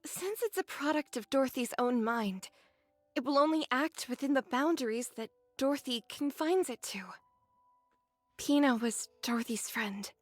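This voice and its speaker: A woman's voice: high voice, animated, moderate pace, no room sound, faint music behind. A woman voicing an anxious, earnest young heroine in an anime-style game. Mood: earnest, explaining.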